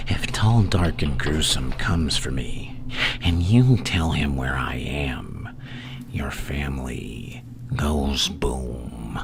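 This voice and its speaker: A man playing a gleefully menacing, seductive madman villain in an ASMR roleplay, teasing and taunting in a gravelly, breathy voice that often sinks to a whisper. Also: a bass voice, noisy background, exaggerated voice. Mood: conspiratorial menace.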